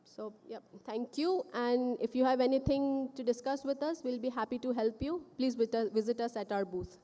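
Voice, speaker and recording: Woman at a conference stand talking, high mellow voice, big reverby hall. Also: moderate pace. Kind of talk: conference presentation.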